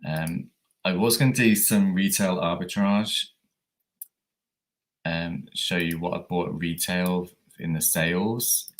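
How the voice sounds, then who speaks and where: A man with a croaky voice from a coming cold, chatting casually with many fillers as he hosts a reselling livestream. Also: low voice, fairly even intonation, slow. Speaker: eBay reseller livestream host.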